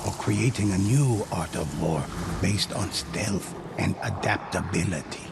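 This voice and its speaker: A slow, gravelly legend-teller. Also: male voice, deep voice, very noisy. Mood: solemn. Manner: slow, grave, measured delivery. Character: solemn male storyteller narrating legends. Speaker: narrator. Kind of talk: scripted game narration. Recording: game audio with loud music.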